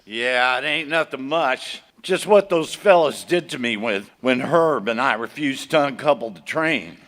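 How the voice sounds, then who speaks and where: A man's voice: medium pitch, lively intonation, measured pace, fairly bright tone. A man playing a tough, plainspoken frontier dad. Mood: plain. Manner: folksy drawl. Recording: echoey theater stage.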